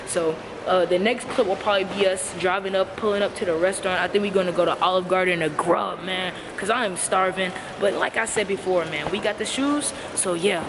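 A man's voice: high voice, noisy background. A teenage boy with an American accent, chatting casually and slangily to the camera as a vlogger. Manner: slangy, informal. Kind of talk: casual vlog chat to camera. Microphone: camera at arm's length.

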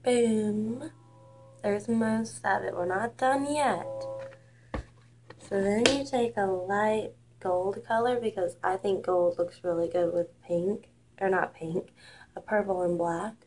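A woman's voice: medium pitch, takes it slowly, darkish tone, faint music behind. A young woman in her twenties chatting casually through a makeup tutorial, sniffly from a cold, recorded on a webcam in a small room. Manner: chatty, offhand, self-deprecating. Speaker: makeup vlogger. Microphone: built-in webcam mic at arm's length.

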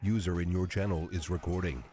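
Low synthetic male announcer, quick. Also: fairly even intonation. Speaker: voice-chat notification voice. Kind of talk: automated system announcements.

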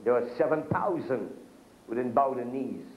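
A man's voice: mid-range voice, lively intonation, moderate pace, slight background noise. A middle-aged man preaching a sermon at a pulpit, gesturing and calling out to the congregation. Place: church hall with curtains, speaking at a pulpit. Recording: echoey church room, dull lo-fi video sound.

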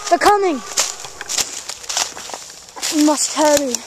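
A woman's voice: sits high, takes it slowly. A young woman bantering in a dramatic, bossy way through an improvised mock nature documentary, recorded outdoors on noisy camera audio. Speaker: amateur video host. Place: outdoors in woods.

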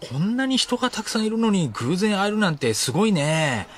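Man acting a gentle, slightly high-pitched romantic senior. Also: big pitch swings, fairly quick. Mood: friendly. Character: friendly, romantic young male senior schoolmate or colleague. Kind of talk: comic romantic radio drama.

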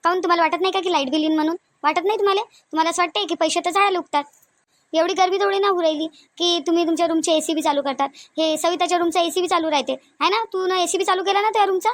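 A woman voicing a domineering, thrifty, quick-tempered cartoon mother-in-law with a Varhadi Marathi accent, nagging in repeated rhetorical questions. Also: extremely high pitch, no room sound. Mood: scolding, sarcastic. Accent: Varhadi Marathi (Vidarbha). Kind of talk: comedic cartoon dialogue.

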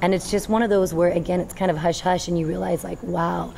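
Low-voiced woman coach, earnest, noisy school gym, camera mic. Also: steady tone, fairly quick, gravelly. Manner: earnest, talks through her thoughts with restarts. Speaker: girls volleyball coach. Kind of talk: postgame interview. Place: high school gym, indoors.

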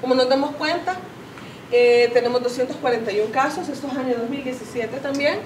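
A woman in her thirties with a Salvadoran Spanish accent presents data at a seminar, pausing on fillers and correcting herself as she goes. Her voice sits fairly high. The sound comes from a camera far across an echoing conference room, with steady background noise. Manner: explanatory, self-correcting, many fillers. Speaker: conference speaker. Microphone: far camera, no mic seen. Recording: roomy, distant camera audio with background noise.

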